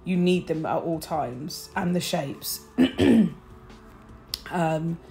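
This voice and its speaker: A woman with a croaky early-morning voice, chatting bubbly through a nail art tutorial and sharing tips. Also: on the low side, lively intonation, very slow, warm tone, soft background music, low-quality recording. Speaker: nail technician.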